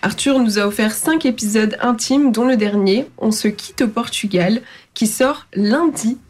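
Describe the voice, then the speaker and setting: A woman hosting a radio show, her voice sitting a touch high. She talks through a schedule of events in a chatty way, with small fillers along the way. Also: unhurried, a little mellow. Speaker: radio host.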